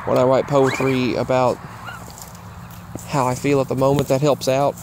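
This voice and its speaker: A man giving a casual, rambling update while walking outdoors, halting and often repeating himself. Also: mid-range voice, little pitch movement, darkish tone, rough recording. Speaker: vlogger. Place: outdoors, walking in a grassy yard near roads. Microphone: earbuds microphone with a phone. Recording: clear outdoor traffic noise. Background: passing traffic.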